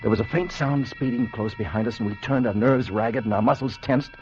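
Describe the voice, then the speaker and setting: An actor playing an earnest, excitable time traveler who tells his adventure intensely in a 1950s radio drama. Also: medium pitch, natural voice. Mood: tense narration. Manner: intense, deliberate storytelling. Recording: dull vintage radio recording with music.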